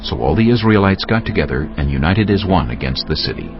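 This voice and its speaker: A man's voice: deep voice, expressive, fast, highs cut off, music in the background. A man narrating dramatized scripture as a solemn storyteller.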